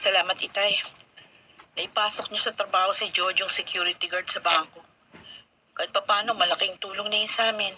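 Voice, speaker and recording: A woman's voice: medium pitch, steady tone, fairly quick, bright, forceful tone, faint music behind. A woman playing a weary, loyal, long-suffering wife and daughter with a Filipino accent in a radio drama. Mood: grateful. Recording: noisy, distant radio drama broadcast.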